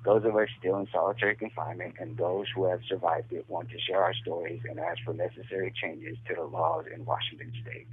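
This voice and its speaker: Deep husky man on a tinny phone line. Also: steady tone, fairly quick, few pauses, slight background noise. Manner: formal, prepared statement. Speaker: incarcerated caller.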